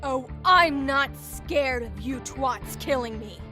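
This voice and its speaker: A woman's voice: high voice, animated, slow, over music. Woman playing a foul-mouthed sneering captive. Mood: scornful. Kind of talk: fan audio drama acting.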